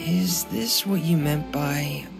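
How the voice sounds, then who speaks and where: A woman's voice: low-pitched, gravelly, heavily acted. A woman voicing a shy, awkward, hesitant teenage boy in a video game. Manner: halting, awkward.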